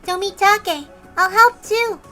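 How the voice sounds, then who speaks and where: A woman's voice: extremely high pitch, slow, pushed and bright, soft background music, pitched above the performer's own voice, heavily acted. A woman voicing a resolute, earnest young girl in a dramatic visual novel read-aloud. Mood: determined.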